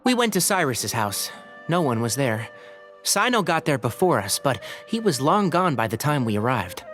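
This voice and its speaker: A man's voice: medium pitch, very animated, normal speed, a bit bright. A man voicing a composed, courteous young male investigator in a video game. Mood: matter-of-fact. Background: background music.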